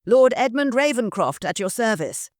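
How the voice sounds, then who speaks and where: A woman voicing a refined aristocratic gentleman with a smooth, cultured manner and a sinister edge. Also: a little high, big pitch swings, normal speed, dry recording, clean studio sound, pitched above the performer's own voice.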